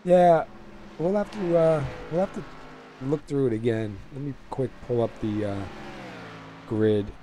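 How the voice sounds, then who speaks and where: A man with a hoarse, fading voice hosting casual, halting race commentary in an informal way. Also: mid-range voice, warm tone, slight background noise. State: hoarse, losing his voice. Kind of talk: casual sim racing commentary.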